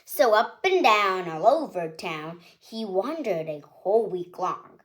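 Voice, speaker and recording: A woman reading a children's picture book aloud with expressive storytelling, ending with a request to subscribe. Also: mid-range voice, takes it slowly.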